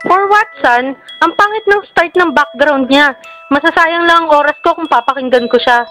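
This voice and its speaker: A woman's voice: sits high, normal speed, bright, forceful tone, faint music behind, poor audio. A woman playing a wealthy, disapproving mother in a radio drama, imperious, with a Filipino accent and switching between English and Tagalog. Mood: cold, disapproving. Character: wealthy, haughty, disapproving mother of a grown son.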